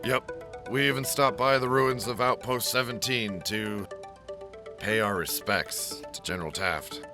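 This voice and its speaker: A man's voice: fairly low pitch, a little slow. A man playing a mild, plain-spoken military scout captain being interviewed in an audio drama, polite and unassuming. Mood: respectful. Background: music.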